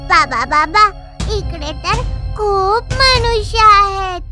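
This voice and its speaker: A performer voicing a greedy, childish boy ghost with a squeaky voice in an animated horror story. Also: heavily acted. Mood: gleeful, greedy. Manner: slow, drawn-out delivery. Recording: loud music behind the voice. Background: loud music.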